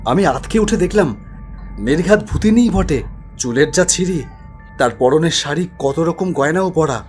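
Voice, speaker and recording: A man's voice: fairly high pitch, animated, moderate pace, over music. A man narrating a spooky cartoon story in a dramatic, suspenseful way.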